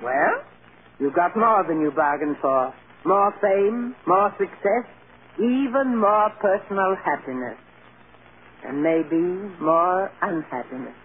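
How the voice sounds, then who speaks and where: A woman's voice: lowish voice, slow pace. An actress playing a stern but loving old grandmother, firm and earnest, in 1940s radio drama style. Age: older. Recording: tinny, hissy old radio recording.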